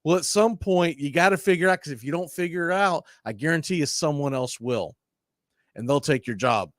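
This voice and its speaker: A man hosting a casual live sports stream, speaking bluntly and opinionatedly in a halting, self-correcting way. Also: fairly high pitch, a bit bright, dry recording, studio quality.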